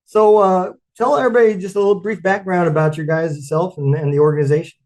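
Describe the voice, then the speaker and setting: A man hosting a podcast, asking questions in a relaxed, chatty way with many fillers. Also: high voice, quick pace. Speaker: podcast host. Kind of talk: casual interview chat.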